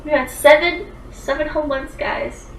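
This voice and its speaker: A woman's voice: high-pitched, normal speed, reverberant, far from the mic, slight background noise, poor audio. A woman in her twenties chatting casually on a livestream, rambling with lots of fillers as she answers viewer comments. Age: 20s.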